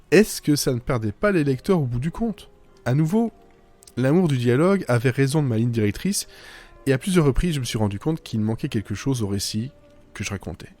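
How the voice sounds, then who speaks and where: A man with a mid-pitched voice narrates a scripted first-person monologue. His delivery is whimsical and self-mocking, full of little asides. Faint music plays behind him. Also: darkish tone. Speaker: writer narrating own fiction podcast.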